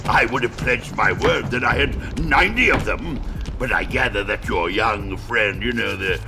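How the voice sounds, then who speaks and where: A man's voice: lowish voice, moderate pace, natural voice. A man playing a pompously polite old British explorer uncle in a video game, hemming through florid phrases over music. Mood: apologetic, wheedling. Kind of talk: cartoonish video game acting.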